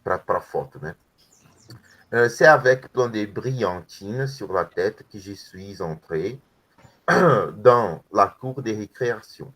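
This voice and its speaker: Male language teacher, lower register, patient step-by-step explainer. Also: expressive, slow, fairly bright tone. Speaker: French teacher. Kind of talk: explaining grammar in an online class.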